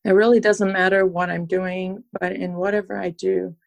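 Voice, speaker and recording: A woman from Transylvania, Romania, speaking earnestly and in measured, articulate phrases as a podcast guest, reflecting in an interview. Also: mid-range voice, little pitch movement, gravelly.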